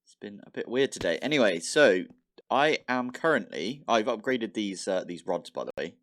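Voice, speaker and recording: Guy chatting over his minecraft run, deep voice but bouncy, bit stuffy nosed, grumbles at stuff. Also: animated, moderate pace, fairly bright tone. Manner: chatty, thinking aloud, mild exasperation. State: slightly blocked nose. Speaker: modded Minecraft let's play host. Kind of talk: casual gameplay commentary.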